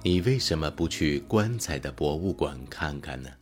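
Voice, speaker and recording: A man playing a mysterious, calm, thousand-year-old being with a booming voice heard through a control-room speaker. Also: moderate pace, faint music behind. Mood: probing.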